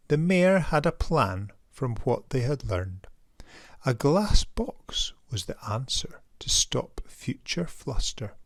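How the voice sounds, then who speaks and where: A man reading a rhyming children's picture book aloud in a theatrical, measured and emphatic storyteller's manner. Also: medium pitch, no echo, clean studio sound. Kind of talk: expressive rhyming storybook narration.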